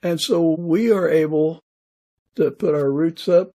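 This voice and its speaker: A man speaking as a podcast guest in casual, reflective conversation, thoughtful and a little halting. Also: on the high side, dark tone.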